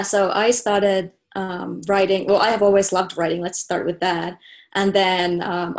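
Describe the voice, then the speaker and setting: A young woman from Finland with a Finnish accent, a native Finnish speaker, chatting casually as a podcast guest with many fillers and restarts. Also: on the low side. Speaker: guest, professional volleyball player. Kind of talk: casual interview chat.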